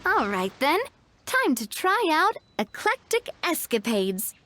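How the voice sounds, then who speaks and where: A woman voicing a confident, upbeat young festival organizer in a video game, breezy, expressive and reassuring. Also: sits high, slow pace, no room sound, exaggerated voice. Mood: cheerful, eager.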